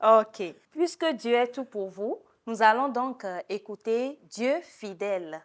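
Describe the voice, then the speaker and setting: A woman's voice: on the high side, animated, unhurried. A woman hosting a TV interview, addressing her guest politely and formally. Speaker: TV show host.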